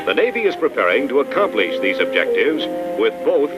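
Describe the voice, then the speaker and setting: A man's voice: mid-range voice, very animated, a little fast, highs cut off. A man narrating a 1950s military training film in a formal, matter-of-fact documentary style. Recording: 1950s film soundtrack, loud music. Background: loud music.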